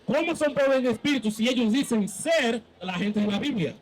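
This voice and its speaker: A man's voice: extremely high pitch, lively intonation, moderate pace. A man leading a street Bible debate as a preacher, insistently quizzing and cutting in with questions.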